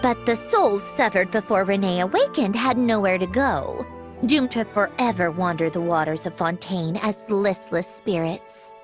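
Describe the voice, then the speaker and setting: An actress voicing a self-assured, haughty young woman scientist in a game, explaining things in a crisp, condescending way. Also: mid-range voice, measured pace, lightly acted. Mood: grave explaining. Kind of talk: scripted video game character dialogue. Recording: game music behind the voice.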